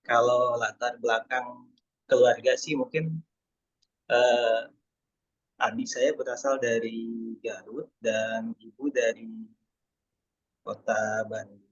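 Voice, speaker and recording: Husky man presenting a webinar. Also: medium pitch, steady tone, takes it slowly, long pauses, dry recording, dull audio. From Indonesia. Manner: hesitant, many fillers and restarts. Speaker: webinar speaker.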